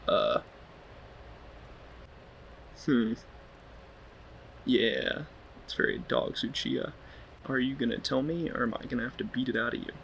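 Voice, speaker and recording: Guy doing casual hero lines in a game, creaky gravelly voice, bit of noise behind. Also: medium pitch, a little slow, long pauses, a bit bright, rough recording. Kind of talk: casual role-played game dialogue.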